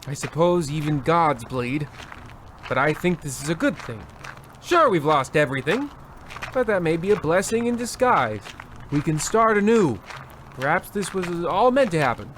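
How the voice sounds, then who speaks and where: A man playing a pompous, excitable husband in a mock old-time radio comedy, theatrical and stammering when flustered. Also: a little high, moderate pace, slight background noise. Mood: flustered, grandiose. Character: Percival, pompous, excitable husband with a gambling weakness.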